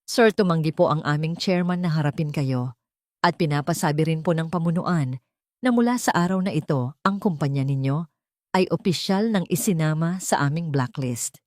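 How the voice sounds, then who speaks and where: A woman's voice: deep voice, normal speed, darkish tone, dry recording, studio quality, close to an everyday voice. A woman voicing a cold, unsympathetic secretary who speaks formally and impassively in a narrated drama.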